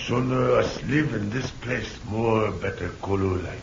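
An actor playing a loyal, watchful native guide who speaks clipped pidgin English in an old-time radio adventure. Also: male voice, lowish voice, a little slow. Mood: grim. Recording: dull, noisy old radio recording.

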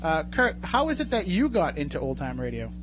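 A man's voice: fairly high pitch, big pitch swings, a little fast, a bit bright, slight background noise. A man hosting a radio show in a casual, chatty and jokey way from a studio.

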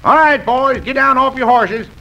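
A man's voice: very high-pitched, moderate pace, vocal fry, bright, forceful tone, dull audio, soft background music, full character voice. A man playing a trusting frontier sheriff in command, with a Western American accent, in an old radio western. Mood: commanding, unworried. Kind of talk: 1940s-50s radio western acting. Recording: noisy old radio recording.

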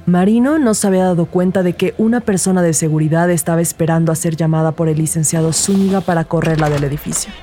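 A woman's voice: fairly low pitch, fast, hardly any pauses, dark tone, faint music behind, natural voice. A woman playing an earnest rookie detective who narrates her case in a scripted audio drama. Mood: measured, narrating. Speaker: narrator and lead character.

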